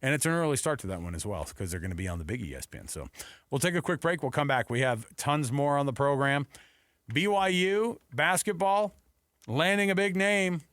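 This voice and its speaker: Sports radio host: American man, animated and casual, very varied intonation, dry studio mic. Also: on the high side, a little fast, no room sound. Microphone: close studio broadcast microphone.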